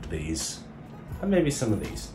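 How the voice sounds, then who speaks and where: Casting: male hobby vlogger, informal and unscripted, lowish voice, recorded well away from the mic in an echoey room. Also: wildly swooping pitch, unhurried. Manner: informal, thinking aloud. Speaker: YouTube host showing a hobby build. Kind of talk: casual unscripted show-and-tell vlog. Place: indoor room beside the build. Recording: echoey room, distant mic, music behind.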